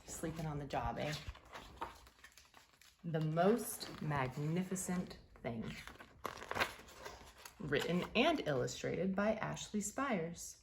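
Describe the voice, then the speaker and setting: Woman, children's storybook read-aloud, unhurried pace, very varied pitch, expressive emphasis on key words. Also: gravelly, background noise. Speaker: teacher reading a picture book aloud.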